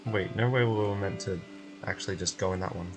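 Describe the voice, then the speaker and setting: A man's voice: sits low, a little fast, music in the background. A man playing a video game on stream, narrating and commenting as he goes. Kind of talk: live playthrough commentary and narration.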